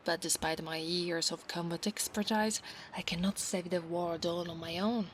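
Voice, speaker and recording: A woman's voice: lowish voice, steady tone, moderate pace, slight background noise, natural voice. A woman voicing a proud, insistent female centaur knight of a holy order in an ASMR roleplay, formal and commanding in her speech. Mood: proud, explaining. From Italy. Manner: formal, commanding, haughty, archaic phrasing.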